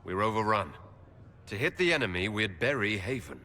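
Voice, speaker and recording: An actor playing a defiant, decisive heroic leader in a video game, speaking in terse, forceful phrases. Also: male voice, on the low side, big pitch swings, normal speed. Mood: alarmed. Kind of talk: dramatic video game acting.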